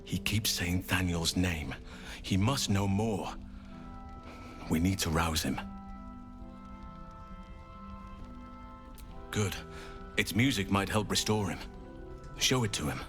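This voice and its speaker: Deep-voiced, grave male druid. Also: animated, over music. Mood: grave concern. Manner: measured, grave, commanding. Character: solemn, resolute male druid healer with grave authority. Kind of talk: dramatic fantasy video game acting.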